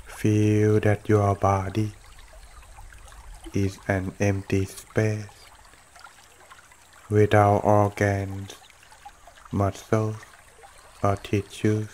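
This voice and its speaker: A man with a lowish voice guides a meditation at an extremely slow pace. His delivery is almost completely flat and gentle, in short phrases separated by long pauses. Water trickles softly behind him. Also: a little hiss or hum. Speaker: meditation teacher. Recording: running water throughout. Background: trickling stream water.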